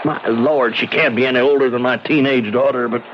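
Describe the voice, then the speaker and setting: A middle-aged man acting as an earnest, pragmatic frontier doctor with halting, emphatic speech in an old-time radio drama. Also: medium pitch, steady tone, lightly acted. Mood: shaken, bitter. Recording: noisy muffled radio drama recording.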